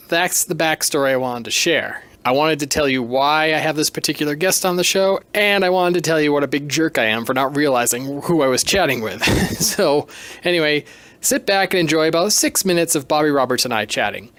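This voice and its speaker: A man's voice: fairly high pitch, fairly bright tone, dry recording. A man hosting a podcast solo, talking in a casual, chatty, self-deprecating and emphatic way. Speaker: podcast host.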